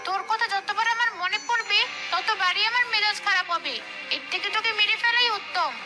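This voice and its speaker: A woman's voice: very high voice, brisk, over loud music. A woman voicing a bitter, jealous, menacing female ghost in a cartoon, complaining and threatening. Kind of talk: cartoon voice acting.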